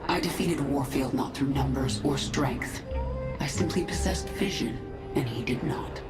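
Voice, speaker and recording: A woman's voice: rumbling low, a little slow. A woman playing a cold, commanding, vengeful queen of an alien swarm in a video game, stern and authoritative. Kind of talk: dramatic video game acting. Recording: echoing effect, under loud music.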